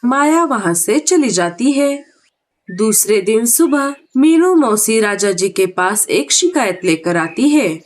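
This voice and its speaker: A woman's voice: a little high, sing-song, slow pace. A woman narrator reading a scripted children's cartoon story.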